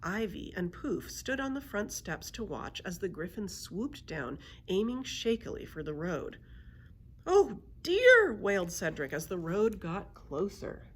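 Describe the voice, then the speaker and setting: A woman's voice: fairly low pitch, animated, measured pace, few pauses, breathy edge. A woman narrating a children's book aloud as a librarian storyteller.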